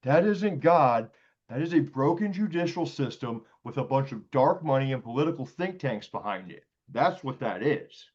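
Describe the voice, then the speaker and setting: A man's voice: mid-range voice, very animated, moderate pace, a little mellow, slightly rough. A man hosting a panel and giving blunt, indignant unscripted commentary. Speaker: panel host. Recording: dull, lo-fi audio.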